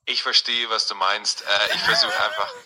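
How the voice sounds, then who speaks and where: A man's voice: fairly low pitch, level tone, moderate pace, sharp tone, slight background noise, rough recording. A man's synthetic chatbot voice, polite and neutral, played from a phone into the conversation. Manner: polite, neutral, overly agreeable. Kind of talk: scripted-sounding assistant replies. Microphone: played from a phone speaker.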